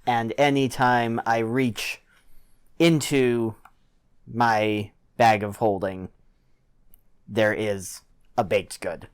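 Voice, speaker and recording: Clear, slow-spoken man at a game table. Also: fairly low pitch, steady tone. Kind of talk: casual tabletop game chat.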